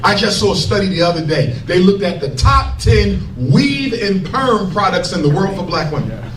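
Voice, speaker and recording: A man preaches to a crowd in a high, animated voice that swoops up and down as he drives each point home. He was recorded from a distance in a roomy, echoing hall, with steady noise audible behind him. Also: normal speed. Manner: preaching, emphatic, jokes with the crowd. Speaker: speaker addressing an audience. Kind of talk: fiery public lecture.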